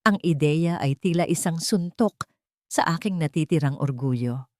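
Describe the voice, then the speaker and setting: A woman with a Filipino accent narrating a scripted first-person drama in measured, deliberate phrases. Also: low-pitched, expressive, a little mellow, no echo, studio quality. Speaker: story narrator.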